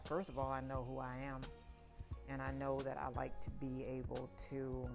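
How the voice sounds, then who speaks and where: A woman in her sixties sharing personal reflections in conversation, emphatic and repeating words for stress. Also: rumbling low. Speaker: podcast guest, the host's mother.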